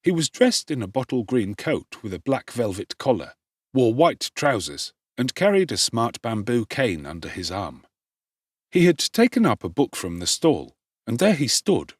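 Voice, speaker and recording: A man narrating a classic novel in a measured, formal storytelling manner with expressive emphasis. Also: mid-range voice, no echo, clean studio sound. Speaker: audiobook narrator.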